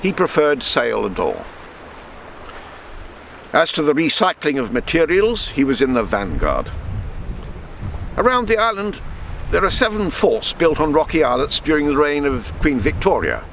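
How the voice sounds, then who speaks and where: Lively higher-pitched man reading a story aloud. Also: moderate pace, vocal fry, long pauses, slight background noise. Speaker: author reading his own story.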